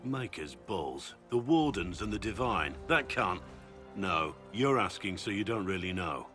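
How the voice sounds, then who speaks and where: An actor playing a gruff, seasoned warrior recruiter in a fantasy game, speaking in a blunt, earnest, plain-spoken way. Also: male voice, low voice, animated, unhurried, a bit bright. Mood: startled, defensive. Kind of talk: dramatic fantasy game dialogue. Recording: background music under the voice.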